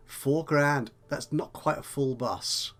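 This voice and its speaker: A man with a slightly croaky voice, chatting and thinking aloud while commentating on a management game. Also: a little high, takes it slowly, fairly bright tone. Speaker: let's play host. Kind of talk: casual gameplay commentary.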